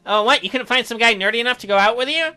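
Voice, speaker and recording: A man's voice: very high-pitched, rapid-fire, bright, forceful tone, clean tone, soft background music, voice pushed up, full character voice. A man voicing an awkward, skeptical young male game protagonist who teases and stammers. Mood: teasing. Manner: hesitant stammering mixed with glib mockery. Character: awkward, skeptical, teasing teenage guy protagonist.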